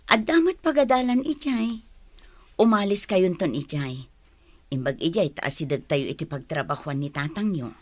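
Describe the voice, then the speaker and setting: A woman's voice: fairly low pitch, lively intonation, moderate pace, no echo. A woman with an Ilocano Filipino accent playing a stern, practical village mother in a comedy drama. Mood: matter-of-fact.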